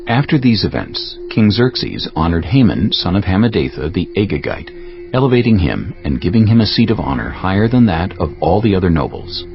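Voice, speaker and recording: A male narrator reads a dramatized Bible story with formal, deliberate phrasing. His deep voice moves expressively up and down. The recording is a little muffled, with music running underneath. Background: prominent background music.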